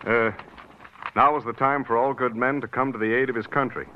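Man as casual, hesitant police sergeant, medium pitch, dull old radio drama recording with some hiss. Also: steady tone, brisk, natural voice. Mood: offhand, puzzled. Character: male police sergeant, offhand, a little slow. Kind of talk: 1950s radio crime drama acting.

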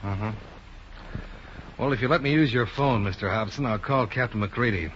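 An American actor playing a cool, businesslike insurance investigator in a 1950s radio drama, terse and matter-of-fact. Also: male voice, mid-range voice, normal speed, fairly bright tone, lightly acted. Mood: probing, cool. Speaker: radio drama lead and narrator. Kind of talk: 1950s hard-boiled radio acting and narration. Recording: muffled, noisy 1950s radio recording.

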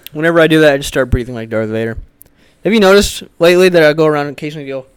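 A man's voice: on the high side, rapid-fire, clean tone, no echo. A man co-hosting a podcast in casual chat, blunt and teasing as he critiques his friend's impressions. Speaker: podcast co-host.

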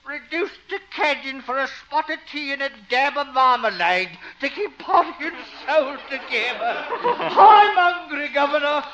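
An actor playing a ruined English gentleman begging, with an English accent and overwrought, wheedling melodrama. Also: very high-pitched, lively intonation, unhurried, background noise. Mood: pitiful, wheedling. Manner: overwrought, theatrical pleading. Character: hammy down-and-out English gentleman beggar, pitiful and wheedling. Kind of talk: melodramatic 1950s radio comedy acting. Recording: muffled vintage radio recording.

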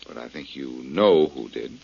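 Cool, husky radio detective. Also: male voice, extremely low pitch, expressive, a little mellow, heavily acted. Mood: shrewd, pressing. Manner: brisk, confident, probing. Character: cool, shrewd, wisecracking male amateur detective. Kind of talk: 1940s radio acting. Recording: muffled, worn old radio broadcast.

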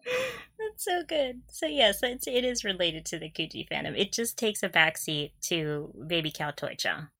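A woman's voice: medium pitch, very animated, unhurried, creaky, sharp tone. A woman co-hosting a podcast in casual fan chat, gushing and giggly as she talks. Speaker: podcast co-host.

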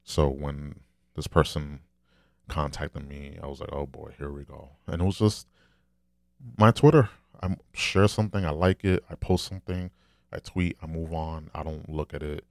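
Deep, flat-voiced man, dry sound. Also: normal speed, creaky, dry recording. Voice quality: deep, low soft voice. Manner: candid, rambling, swears casually. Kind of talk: casual solo podcast monologue.

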